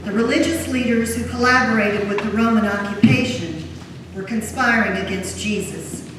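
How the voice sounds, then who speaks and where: A solemn female narrator, read by a woman tells a scripture story formally. Her fairly high voice comes from far off in a long-reverb church hall, with noise around it. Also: normal speed, natural voice. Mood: solemn narration. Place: large church during a service. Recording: very echoey church hall, distant mic, noisy.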